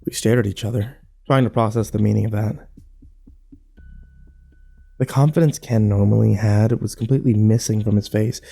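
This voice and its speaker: A man playing a grim, fearful survivor, narrating his ordeal in a brooding, measured first-person horror style. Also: fairly low pitch, steady tone, vocal fry, dark tone, soft background music. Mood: grim, uneasy.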